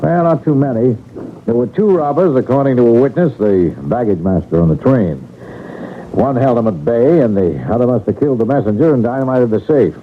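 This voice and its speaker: Man playing a brisk detective on muffled old radio. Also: mid-range voice, animated, close to an everyday voice. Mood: businesslike, bantering. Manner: commanding, matter-of-fact. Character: brisk, authoritative male detective taking charge of a robbery case. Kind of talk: radio mystery drama acting. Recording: muffled narrowband old radio broadcast, faint hiss.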